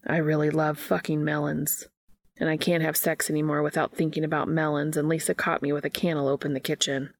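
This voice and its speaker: An embarrassed man in an audiobook confesses to his support group, as read by a woman. He is hesitant and stammers sheepishly. The voice is low and crackly, recorded very dry. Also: flat delivery, a little fast, gravelly, dry recording. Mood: embarrassed confession. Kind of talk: audiobook character dialogue.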